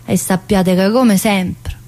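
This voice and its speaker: Casting: a scrappy, needy woman inmate, mid-pitched voice, colloquial and joking, solo stage monologue addressed to unseen listeners. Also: steady tone, darkish tone, slight background noise. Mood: playful, affectionate. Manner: halting, repetitive, pleading, addressing unseen listeners. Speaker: stage actress performing a solo play. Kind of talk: theatrical monologue in character.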